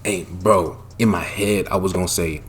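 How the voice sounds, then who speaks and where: Deep-voiced young man telling a story. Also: moderate pace, a little hiss or hum. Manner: animated, profane slang-heavy retelling with loud bursts. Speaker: storytime vlogger. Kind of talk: casual storytelling.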